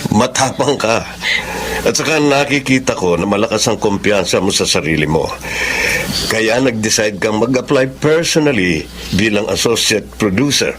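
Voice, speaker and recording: A man's voice: on the low side, moderate pace, a bit bright, slight background noise, lightly acted. An actor playing a middle-aged male company manager, formal and businesslike as he hires a trainee. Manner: formal, switching between English and Filipino. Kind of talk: Filipino radio drama acting.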